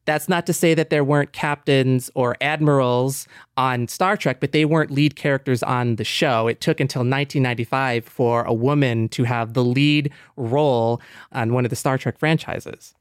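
A man speaking in a measured, informative way as a panelist in a discussion, pausing mid-phrase. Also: mid-range voice, steady tone, smooth, dry recording, studio quality. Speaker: podcast panelist. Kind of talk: explanatory discussion.

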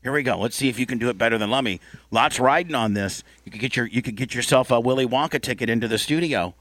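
A man's voice: mid-range voice, fast, fairly bright tone, no echo. A man hosting a loose comedic radio show, rambling and bossy as he teases the people around him.

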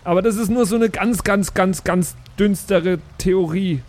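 A man's voice: high-pitched, measured pace, darkish tone. A man talking casually as a player in an improvised tabletop role-playing game.

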